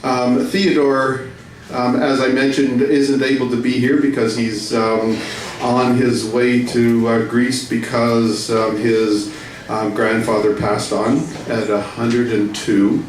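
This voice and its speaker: A man hosting a live event, introducing speakers informally and haltingly with long hesitations. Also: medium pitch, flat delivery. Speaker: book launch host. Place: indoor room at a live event. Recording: echoey room, distant mic, faint noise.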